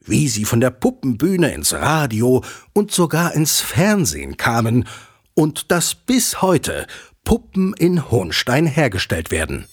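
A man's voice: medium pitch, wildly swooping pitch, a little slow, breathy edge. A man narrating a scripted children's audio drama as a storyteller. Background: music.

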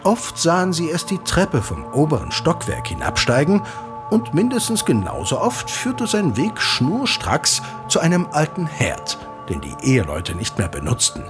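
An actor playing an eager father who recounts an old legend in an audio drama, animated, teasing and dramatic, like a keen storyteller. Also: on the high side, moderate pace. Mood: absorbed, storytelling. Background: music.

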